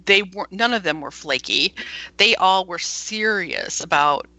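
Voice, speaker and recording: A talkative woman speaking as a guest in a casual interview, laughing easily as she tells how her radio station began. Her voice sits a little low yet rings bright and forceful. The sound is dry and close, with no room around it. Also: expressive, a little slow, dry recording, low-quality recording. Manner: chatty storyteller who laughs often.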